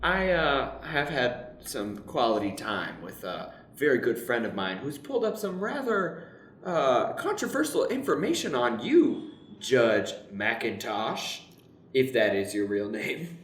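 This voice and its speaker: A man's voice: mid-range voice, sing-song, a little slow, a bit bright, a little hiss or hum. A man playing a bumbling, loyal ugly wizard in an improvised radio play, hesitant and mock-dramatic. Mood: hesitant objection. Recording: echoey room, music behind.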